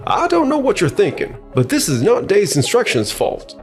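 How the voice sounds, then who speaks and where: A man's voice: high-pitched, wildly swooping pitch, moderate pace, soft background music. A man playing a stern, accusing client in a manga dub, complaining in a formal manner. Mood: angry. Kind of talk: expressive manga dub voice acting.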